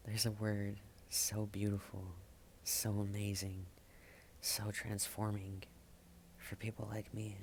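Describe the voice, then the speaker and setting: A man performing spoken-word slam poetry in a halting manner, with dramatic pauses, repeated phrases and hushed endings. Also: lowish voice, completely flat delivery, a little hiss or hum. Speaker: slam poet.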